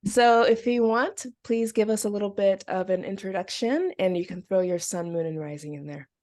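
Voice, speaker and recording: A woman hosting a casual interview, her voice a little raspy as she gets over a cold. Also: medium pitch, moderate pace, a little mellow. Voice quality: slightly raspy. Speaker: interview host.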